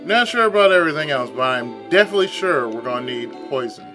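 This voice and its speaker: A hoarse man giving chatty, casual let's play commentary and clearing his throat often. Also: medium pitch, very animated, fast talker. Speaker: let's player. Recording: game music playing behind.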